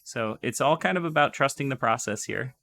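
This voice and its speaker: Man, hoarse, losing his voice, chatty, upbeat, live-stream painting tutorial. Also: mid-range voice, brisk, sharp tone. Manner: chatty and upbeat, talks straight to viewers.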